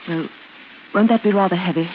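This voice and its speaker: A woman's voice: on the low side, a little fast, natural voice. An actress playing a polite, reserved woman customer in a 1940s radio drama, speaking with hesitant restraint. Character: reserved, polite woman customer choosing her own casket. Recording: noisy, tinny old radio recording.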